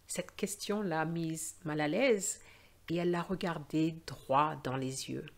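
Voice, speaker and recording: A woman teacher reading and presenting in a slow, expressive storytelling style for language learners. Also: deep voice, creaky.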